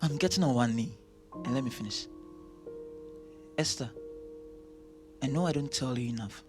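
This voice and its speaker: A man's voice: medium pitch, moderate pace, close to an everyday voice. Man playing an affectionate young doctor over music. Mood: romantic, playful. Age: young adult. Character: affectionate, teasing young doctor, the favoured son. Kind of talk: audio drama acting.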